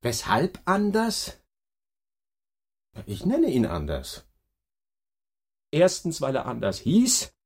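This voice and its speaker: An actor playing a pedantic, reflective narrator in a literary radio play, making each point deliberately. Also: male voice, medium pitch.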